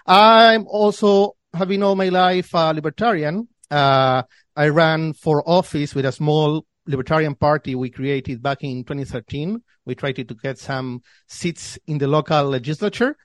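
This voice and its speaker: A man's voice: on the high side, normal speed, no echo. Argentine man explaining in a dry close sound. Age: 30s. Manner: explanatory, with restarts and repeated words. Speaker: guest, financial newsletter founder. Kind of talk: podcast interview.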